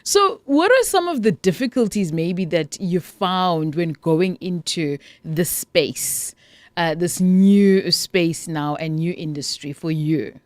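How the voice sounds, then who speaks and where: A woman's voice: fairly low pitch, lively intonation, takes it slowly, no echo. A woman hosting a faith radio interview, exclaiming and laughing often. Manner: warm, exclaiming, laughs and praises the Lord. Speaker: radio show host. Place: radio studio.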